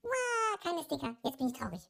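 A squeaky little plush puppy, put on by a man, bouncing high and low with a gravelly rasp. It is eager and playful, and it teases its owner. The voice jumps all over the place like a toy come to life. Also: normal speed, heavily acted. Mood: excited. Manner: excitable, teasing. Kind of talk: toy puppet play during an unboxing video.

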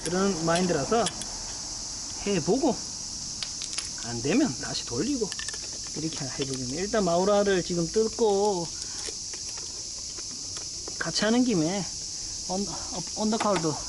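A man's voice: sits high, animated, unhurried, long pauses. A man with a regional Korean dialect talks casually while working on a repair as an amateur host, rambling and often apologizing and downplaying his skill. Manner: apologetic, self-deprecating, rambling with broken-off sentences. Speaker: amateur motorcycle mechanic and video host. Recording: very noisy, loud insect chirping.

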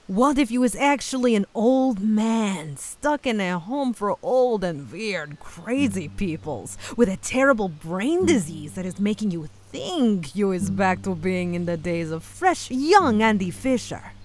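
A woman's voice: medium pitch, very animated, a little slow. A woman voicing a big, beefy cartoon lady who talks in a theatrical, teasing way with broken, foreign-style grammar. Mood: teasing, dramatic. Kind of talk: cartoon dub voice acting. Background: background music.

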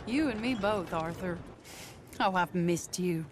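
An actress playing a genteel, sharp-tongued Western lady in a video game, fond and playfully scolding in natural dialogue. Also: fairly low pitch, slow, noisy background. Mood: fond teasing.

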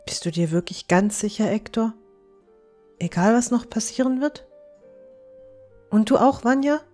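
Woman acting mellow, sincere dwarf girl. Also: fairly high pitch, lively intonation, a little slow, soft background music. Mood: worried, tender. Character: teenage dwarf girl, sincere, anxiously caring. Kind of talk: fantasy audio drama acting.

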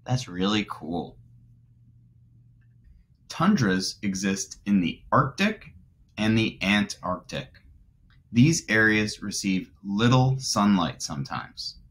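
A man in his twenties, a teacher reading aloud to young children and explaining as he goes, deliberately stressing key words. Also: lowish voice, very animated, a bit bright. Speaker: first grade teacher.

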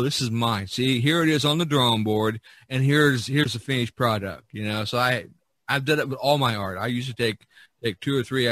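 A man hosting a casual podcast discussion, speaking haltingly and often repeating words and phrases. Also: medium pitch, fairly bright tone. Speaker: podcast host.

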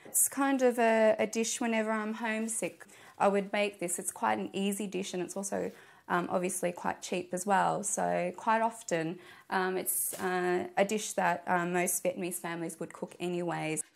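Australian woman, 30s, home cook contestant, chatty with fillers, mid-pitched, lapel mic. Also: fairly even intonation, moderate pace, vocal fry. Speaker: cooking show contestant. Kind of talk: casual explanation while cooking. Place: home kitchen indoors, later a restaurant kitchen.

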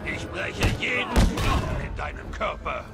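An actor playing a hulking, brutal thug in a dubbed video game, threatening in a gravelly voice. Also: male voice, sits high, lively intonation, a little slow, exaggerated voice. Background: loud music.

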